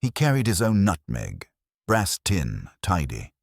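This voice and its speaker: Male actor as wisecracking detective for audiobook mystery, rock-bottom pitch, dry close sound. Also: huge pitch swings, measured pace, gravelly, dry recording, full character voice. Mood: businesslike. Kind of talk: audiobook character dialogue.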